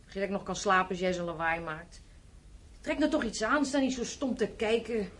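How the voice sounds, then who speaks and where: A woman's voice: medium pitch, expressive, a little fast, close to an everyday voice. An actress playing a tired, sceptical wife and mother in a naturalistic radio play, nagging and dismissive. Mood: weary, grumbling. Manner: scolding, dismissive. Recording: noisy old radio drama recording.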